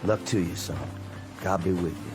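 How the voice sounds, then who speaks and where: A man acts out a kindly, worn-out old Confederate sergeant in a radio play. His voice sits very low. He talks in an unhurried, fatherly, folksy way over clearly audible music. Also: fairly even intonation, natural voice. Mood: weary, gentle. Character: weary, kindly older Confederate sergeant on a crutch. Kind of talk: radio drama acting. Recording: music behind the voice.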